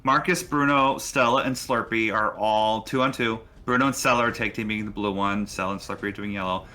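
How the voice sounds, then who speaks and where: Bright, low-voiced man running a tabletop game. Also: steady tone, a little fast. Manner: improvising, talks players through rules and turns. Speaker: game master.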